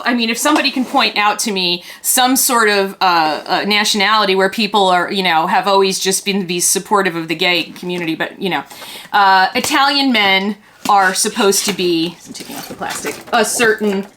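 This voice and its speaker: A woman in her fifties talks to camera as she unboxes a collector's doll. Her voice is bright and clear. She is earnest and chatty, stumbling over her words and wandering into asides. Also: medium pitch, a little hiss or hum. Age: 50s. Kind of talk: casual chat while unboxing.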